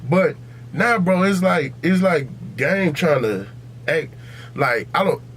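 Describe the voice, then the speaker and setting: Blunt, higher-voiced American man over background noise. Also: lively intonation, slow, a bit bright. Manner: blunt, emphatic, repetitive. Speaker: podcast co-host. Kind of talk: casual, profane podcast banter.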